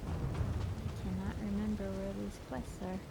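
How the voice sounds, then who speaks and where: A woman's voice: mid-range voice, level tone, measured pace. A woman chatting casually as she plays through a game on a livestream with a co-host. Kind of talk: casual chat while playing a game. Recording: livestream with clear background noise, game ambience.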